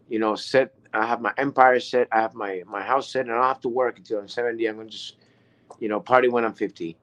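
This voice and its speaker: A man's voice: medium pitch, a bit bright. A man in his thirties talking casually about his business, rambling and restarting his sentences often. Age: 30s. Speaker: online business member. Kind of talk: casual testimonial chat.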